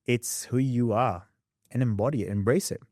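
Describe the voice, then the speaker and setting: A young man with a mixed Australian and English accent, a podcast guest telling his story in a candid, animated way. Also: medium pitch, no echo, studio quality. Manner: animated, quick storyteller who acts out past scenes.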